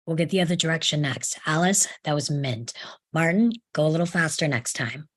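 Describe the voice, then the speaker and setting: Woman doing a bossy excitable kid director boy, husky and lowish, movie script read. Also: fairly quick. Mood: brisk praise.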